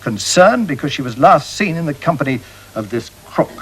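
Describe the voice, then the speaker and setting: A man's voice: fairly high pitch, very animated, moderate pace, breathy edge. A man playing a mock-serious television crime appeal presenter, earnestly delivering puns in a parody broadcast style.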